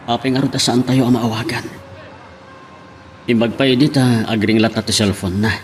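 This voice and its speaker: Lovesick husband played by an actor, male, medium pitch, plaintive, audio drama, slight noise. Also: steady tone, close to an everyday voice. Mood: worried, sad. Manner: plaintive, drawn-out.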